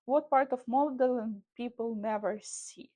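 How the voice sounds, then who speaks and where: A woman's voice: on the high side, unhurried, dark tone. A young woman from Ukraine with a Ukrainian accent, talking casually in a vlog in loose, filler-filled English. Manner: chatty, loose non-native English with frequent fillers. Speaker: traveling model.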